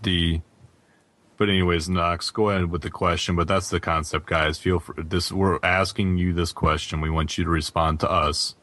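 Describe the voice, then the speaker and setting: A man's voice: sits low, little pitch movement, moderate pace, sharp tone, dry recording. A man hosting a gaming podcast, speaking casually and directly to his listeners. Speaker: podcast host.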